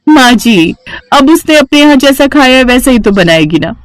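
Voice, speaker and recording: A woman plays a wealthy young daughter-in-law in a children's cartoon story. She is showy and pampered, speaking high and very expressively, with a fussy, complaining affectation. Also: animated, moderate pace, no echo, soft background music. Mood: condescending. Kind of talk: Hindi cartoon bedtime-story character acting.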